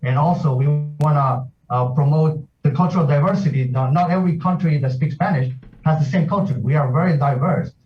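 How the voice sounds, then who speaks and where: Hesitant sergeant on a dull distant call. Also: male voice, a little high, brisk, few pauses. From South America. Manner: steady, hesitant with many uhs. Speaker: association president, military sergeant. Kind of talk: remote video interview.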